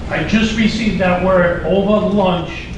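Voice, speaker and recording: A man's voice: sits high, unhurried. A middle-aged man, asking an emotional, rambling question from the floor at a symposium, repeating himself as he goes. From United States. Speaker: audience member asking a question. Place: large conference hall. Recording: echoey hall from far off, noisy.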